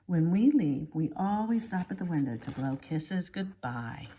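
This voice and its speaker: A woman's voice: on the low side, lively intonation, a little slow, background noise. A woman reading a children's picture book aloud like a schoolteacher, expressively and with exaggerated emphasis.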